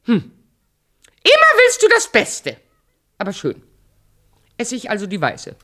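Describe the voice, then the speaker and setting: A woman's voice: fairly high pitch, huge pitch swings, measured pace, fairly bright tone, full character voice. An actress playing a sly, spiteful wicked queen in a fairy-tale radio play, mocking and theatrical. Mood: coaxing.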